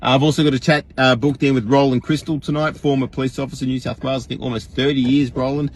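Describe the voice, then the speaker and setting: A man's voice: medium pitch, flat delivery, fast talker, slight background noise. An Australian man hosting a livestream, ranting casually and wryly to his followers as he addresses them directly. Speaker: livestream host.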